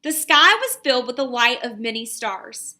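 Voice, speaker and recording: A woman, an elementary teacher reading a picture book aloud and giving pupils instructions in an expressive, deliberate way. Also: a little high.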